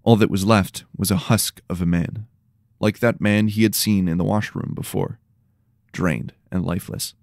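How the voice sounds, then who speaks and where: A man with a slightly tired, worn voice reading scripted, dramatic dark fantasy narration. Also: on the low side, fairly even intonation, normal speed, gravelly, no echo, clean studio sound.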